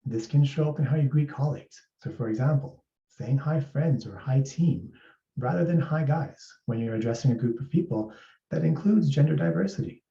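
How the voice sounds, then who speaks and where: A man from East Africa giving a measured, explanatory workplace presentation as an HR speaker. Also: a little high, vocal fry, dark tone. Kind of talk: presentation talk. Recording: roomy, distant, dull sound.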